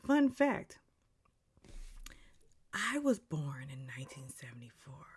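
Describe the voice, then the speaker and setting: A woman's voice: extremely low pitch, very animated, takes it slowly, slightly rough. A woman in her late forties giving a gossipy, catty recap of a reality show episode, dropping into whispers for asides. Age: 40s.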